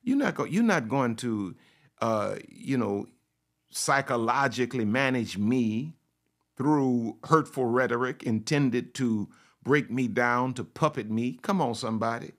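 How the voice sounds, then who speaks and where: A man preaching, slow and deliberate, taking long pauses between his phrases. He repeats lines for emphasis and throws out rhetorical questions. His voice sits in a middle pitch. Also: animated. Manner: speaks in the first person of a confident woman to make his point. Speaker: pastor. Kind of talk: sermon.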